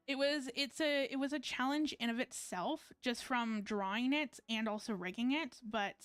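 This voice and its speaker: A woman's voice: mid-range voice, fairly bright tone, smooth, no room sound. A woman chatting casually on a game livestream in a rambling, self-correcting way. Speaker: VTuber game streamer. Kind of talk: casual livestream chat while gaming.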